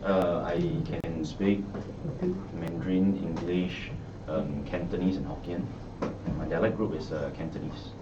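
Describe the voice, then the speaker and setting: Brief: Singaporean man, deep voice, halting answers, echoey room, far dull mic. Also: steady tone, noisy background. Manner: hesitant, many restarts and fillers. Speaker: election candidate. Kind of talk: press conference answers. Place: party office media room.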